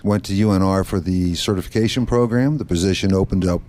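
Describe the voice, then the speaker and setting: A middle-aged man from the Netherlands, a guest on a radio talk show, explaining his nonprofit work in a steady, explanatory way. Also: low-pitched, quick pace. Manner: steady, explanatory, occasional restarts. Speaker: guest, branch manager of a nonprofit. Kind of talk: radio interview answers. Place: studio set in a mall.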